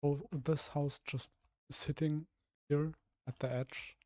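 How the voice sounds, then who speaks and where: A young man from Germany, a streamer with a rough, slightly hoarse voice, thinking aloud hesitantly with many restarts while he builds in a game. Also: fairly high pitch, little pitch movement, takes it slowly, a little mellow, dry recording. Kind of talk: casual live-stream commentary while building.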